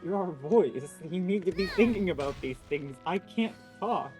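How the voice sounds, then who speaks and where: Casual male game streamer over clear music. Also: high voice, expressive, normal speed, a little mellow. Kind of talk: casual live game commentary.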